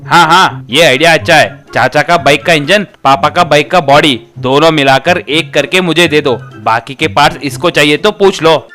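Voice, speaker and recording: A man's voice: on the high side, normal speed, pushed and bright, soft background music. A man playing a squabbling, irritable young brother in a comic cartoon story. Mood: eager, demanding.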